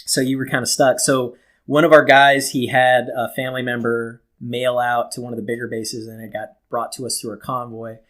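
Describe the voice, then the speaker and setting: A man's voice: mid-range voice, level tone, normal speed, a bit bright. Casual, rambling man telling stories. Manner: informal, rambling, mildly profane. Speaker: talk show co-host telling personal stories. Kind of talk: casual chat, anecdotes.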